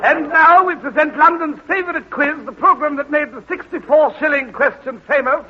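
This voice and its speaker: A man's voice: extremely high pitch, normal speed, background noise, voice pushed up. A man playing a stuffy British wireless announcer in a 1940s radio comedy sketch, with a pompous announcer delivery. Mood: grandly pompous. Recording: dull old radio recording.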